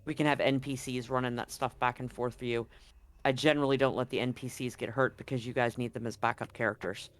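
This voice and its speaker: A man's voice: medium pitch, fairly even intonation, fast, fairly bright tone. A man running a tabletop game, explaining scenes informally and directly to his players. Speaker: game master. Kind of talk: improvised tabletop game narration.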